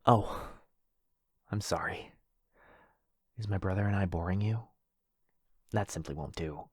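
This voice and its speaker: A man's voice: low-pitched, a little slow, darkish tone, no echo, studio quality. A man playing a lonely, possessive, rich vampire brother in a boyfriend ASMR roleplay, insistent and coaxing. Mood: softly possessive.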